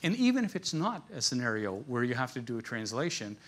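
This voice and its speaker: A man's voice: medium pitch, very animated, quick pace. A man giving an informal business presentation at a conference, talking in a conversational, lightly jokey storytelling way. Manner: conversational, jokey storytelling, chuckles at his own asides.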